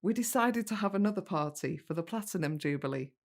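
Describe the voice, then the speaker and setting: An actress playing a caring, sentimental middle-aged English daughter in a naturalistic audio drama, tender and conversational. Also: female voice, fairly low pitch, animated, fast. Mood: reflective.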